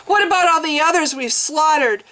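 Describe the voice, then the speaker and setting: A woman's voice: moderate pace, sharp tone. A woman voicing a fierce, desperate young priestess who screams in rage. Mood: enraged. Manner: shrill screaming outbursts.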